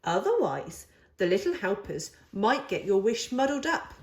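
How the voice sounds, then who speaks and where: A woman's voice: medium pitch, sing-song, a little slow, roomy sound. A woman voicing a patient, persuasive young boy, the older brother in a storybook, coaxing and reasoning. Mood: patient, reassuring.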